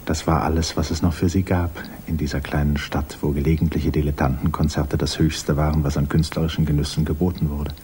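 A man narrating a 1950s German radio drama in a measured, grave literary style over a hissy old recording. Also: extremely low pitch, flat delivery, darkish tone, husky.

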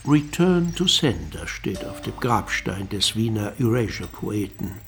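A solemn literary narrator, slow, fry-laden voice, elegiac, radio play over soft music. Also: male voice, on the low side, big pitch swings, slight background noise. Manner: deliberate, elegiac. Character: solemn, poetic male narrator closing a mystery.

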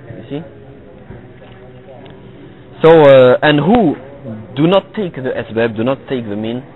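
A middle-aged man teaching a religious lesson to a live audience, halting and repeating himself and often checking with "you see?". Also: mid-range voice. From Tunisia. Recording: phone-like lo-fi recording with crowd noise. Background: listeners gathered in the room.

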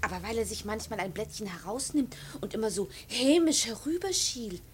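Actress as a high-voiced, flustered, prim young woman. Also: expressive, moderate pace, a little hiss or hum, natural voice. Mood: indignant gossiping. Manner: flustered, emphatic, complaining. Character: prim, indignant, anxious young unmarried woman. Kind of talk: classic German radio play acting.

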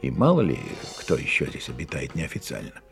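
A man narrating a Soviet radio drama as a sober, measured, reflective storyteller of a detective tale. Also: extremely low pitch, wildly swooping pitch, faint music behind, heavily acted.

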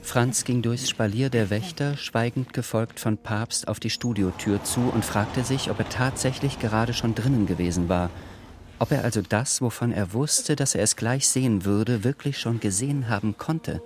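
A man narrating a literary radio drama in a measured, sober scripted style. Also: medium pitch.

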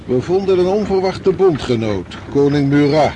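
An actor playing a weary, authoritative commanding general in a radio drama, explaining in a measured way. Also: male voice, fairly high pitch, animated, noisy background, close to an everyday voice. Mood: grave, explaining. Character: weary commanding general of a retreating Russian army.

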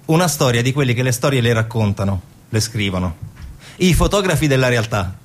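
A man with a husky voice giving scripted dramatic narration for a theatre piece. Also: medium pitch, lively intonation, brisk, slight background noise.

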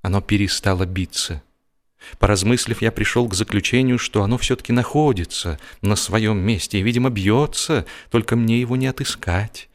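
A man narrating a humorous first-person story in a theatrical, expressive audio-drama style. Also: fairly low pitch, normal speed. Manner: expressive, acting out comic hesitations and dialogue. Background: music.

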